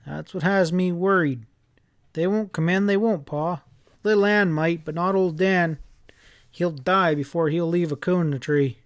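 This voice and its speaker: Determined young country boy, acted by a man, desperate and pleading, pitched up, for a storybook. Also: unhurried, no echo. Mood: worried, insistent. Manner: earnest, pleading.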